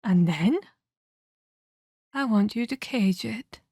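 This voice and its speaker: Woman playing a slow-talking, sinister vampire captor. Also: medium pitch, animated, a little mellow, no room sound, studio quality. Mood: intense, commanding. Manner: slow, deliberate, theatrical, taunting. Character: sinister, condescending female vampire captor, coldly scolding. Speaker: ASMR roleplay voice actor. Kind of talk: dramatic ASMR audio roleplay.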